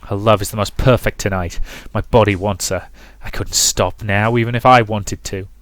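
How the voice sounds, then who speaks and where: A man voicing a seductive, obsessive male incubus in a visual novel, hushed and savouring each word. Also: fairly low pitch, steady tone, moderate pace, no echo, natural voice. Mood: hungry, obsessive. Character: seductive ravenous male incubus, breathy, gloating, obsessive lover. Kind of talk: dramatic visual novel reading.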